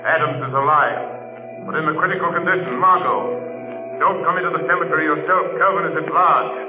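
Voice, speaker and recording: An actor playing a grim, commanding crime-fighting avenger, stern and declamatory in an old radio drama style. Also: male voice, fairly high pitch, fairly even intonation, a little fast, music in the background, lightly acted. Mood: urgent. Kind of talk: 1940s radio acting. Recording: tinny, noisy old radio broadcast recording.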